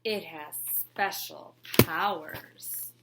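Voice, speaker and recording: A woman voicing a sly, scheming male trickster tailor who talks slowly and in a hush. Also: sits low, very noisy.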